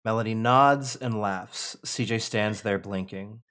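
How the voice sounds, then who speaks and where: A man's voice: fairly low pitch, unhurried, a bit bright. A man narrating stage directions as part of a script table read.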